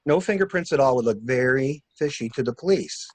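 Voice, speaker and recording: A man in an amateur mystery drama reading, playing a cool-headed gentleman stranger who briskly and wryly takes charge of a cover-up. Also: mid-range voice, expressive, no room sound, close to an everyday voice. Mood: cool, planning.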